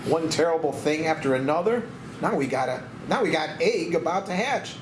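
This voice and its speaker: A man's voice: fairly high pitch, lively intonation, normal speed, natural voice. A man playing an anxious, melodramatic former war pilot who doubts himself, in a screenplay table read. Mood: despairing. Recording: echoey room with background noise.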